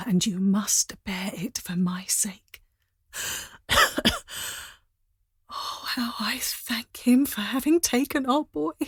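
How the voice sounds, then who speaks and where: A frail young wife on her deathbed, played by an actress in a period audio drama. Her voice is faint and breathy, often sinking to a whisper. She speaks slowly and haltingly, pleading with meek, devout resignation. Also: mid-range voice, no echo, clean studio sound. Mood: weak, resigned. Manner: halting, pleading, weakly coughing. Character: dying young wife and mother in debtors' prison, meek, devout.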